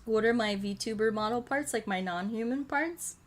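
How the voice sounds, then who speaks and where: A woman's voice: on the high side, moderate pace. A woman livestreamer chatting casually and playfully with viewers, rambling along and often repeating short phrases. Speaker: VTuber streamer chatting with viewers. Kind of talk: casual livestream chat over a game. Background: music.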